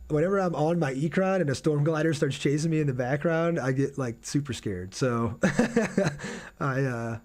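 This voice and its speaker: A man's voice: a little high, normal speed, darkish tone. A man doing casual live gameplay commentary as a streamer, chatty, thinking aloud and reading out viewer messages.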